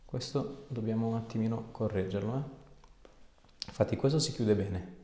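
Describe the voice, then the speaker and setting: A man's voice: lowish voice, normal speed, dark tone. A man, working as an osteopath and giving short, informal instructions and questions during a hands-on consultation. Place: small clinic treatment room, indoors. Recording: echoey small treatment room.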